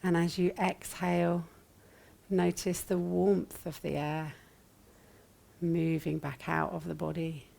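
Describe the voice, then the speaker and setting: Slow, low-voiced woman guiding meditation. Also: flat delivery, creaky. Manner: gentle, soothing, long pauses between short phrases. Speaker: yoga nidra meditation teacher. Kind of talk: guided meditation.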